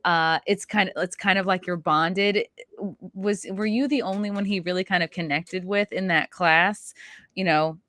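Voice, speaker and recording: A woman's voice: lowish voice, fairly even intonation, moderate pace, a bit bright, dry recording. Giggly woman host, dry close sound. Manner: curious, giggly, rambling questions. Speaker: podcast host. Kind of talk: casual interview chat.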